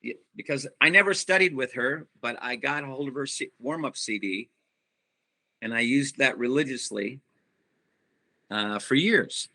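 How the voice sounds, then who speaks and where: A middle-aged man, a musician guest on a podcast, talking reflectively in casual interview chat with many pauses and restarts. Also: medium pitch, bright, forceful tone.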